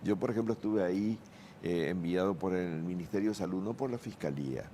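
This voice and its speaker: A man with a very low, mellow voice speaks as a forensic psychiatrist on a TV panel. He is measured and factual. Faint hiss sits behind him. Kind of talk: studio panel discussion.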